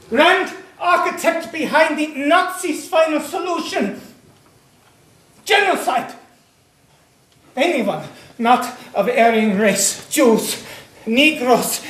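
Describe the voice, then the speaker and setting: An actor playing a principled, defiant imprisoned pastor in a stage play, solemn and declamatory. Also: male voice, very high voice, fairly bright tone, full character voice. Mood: accusing. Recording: echoey stage recording.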